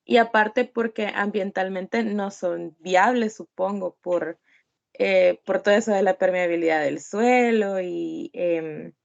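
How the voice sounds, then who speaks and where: A woman's voice: mid-range voice, takes it slowly, creaky. A young woman, a student hesitantly asking a question in class. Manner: hesitant, many fillers and restarts. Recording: dull lo-fi audio.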